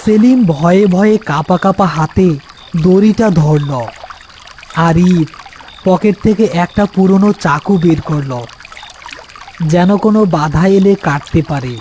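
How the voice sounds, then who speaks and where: High, mellow male horror story narrator. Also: unhurried, long pauses, a little hiss or hum.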